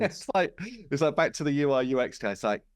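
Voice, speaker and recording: A man speaking as a game studio co-founder in a casual podcast conversation, telling things in an animated way and voicing quoted remarks. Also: medium pitch, a little fast, clear, resonant tone, dry recording.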